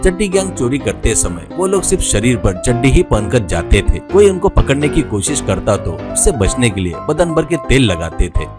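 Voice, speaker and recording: A man's voice: mid-range voice, big pitch swings, normal speed, hardly any pauses. A man narrating a scripted story as a storyteller. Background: music.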